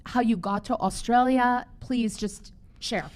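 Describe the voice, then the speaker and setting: A woman's voice: on the high side, fairly even intonation, a little slow, a little mellow. A woman co-hosting a talk show, chatty and enthusiastic, with many "like"s and restarts. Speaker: co-host. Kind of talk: casual talk show chat.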